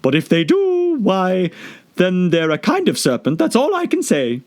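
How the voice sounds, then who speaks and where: A man's voice: wildly swooping pitch, unhurried, a little mellow, full character voice. Man putting on a shrieking, frantic mother pigeon. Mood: deep contempt. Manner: shrill, dramatic, sobbing indignation. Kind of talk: storybook character voice.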